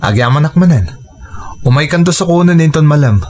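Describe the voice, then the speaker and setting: A man's voice: a little high, expressive, a little fast, darkish tone, a little hiss or hum, natural voice. A man acting as a patient, understanding young farmer courting his sweetheart in an audio drama. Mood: gentle, understanding.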